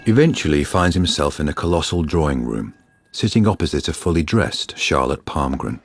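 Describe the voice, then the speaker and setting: A man's voice: very deep voice, expressive, brisk, slightly rough, dry recording, heavily acted. A man giving scripted narration as the narrator of a radio drama. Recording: music behind the voice.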